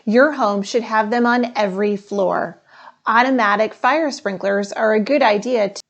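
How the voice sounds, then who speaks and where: A woman voicing a calm, thorough male schoolteacher in a storybook, in a measured, instructive manner. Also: medium pitch, lo-fi sound, natural voice. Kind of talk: storybook read-aloud.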